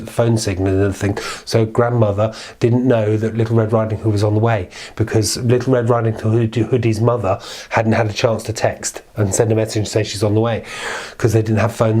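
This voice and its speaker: A man's voice: lowish voice, dark tone. A man telling a children's story in an informal, improvised way, chatty and playful, with repeated phrases.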